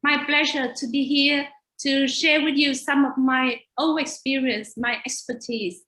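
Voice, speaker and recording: A woman's voice: high-pitched, fairly even intonation, unhurried, pushed and bright, reverberant, low-quality recording. A woman from Vietnam with a Vietnamese accent, a native Vietnamese speaker and teacher educator, giving an earnest webinar slide presentation over a video call.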